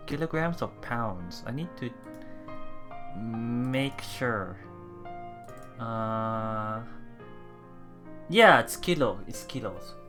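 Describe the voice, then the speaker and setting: Animated visual novel let's player, lively pitch. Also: very slow, pauses often. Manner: animated, reactive, laughs often. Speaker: let's play streamer. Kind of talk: visual novel read-aloud with casual commentary. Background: game music.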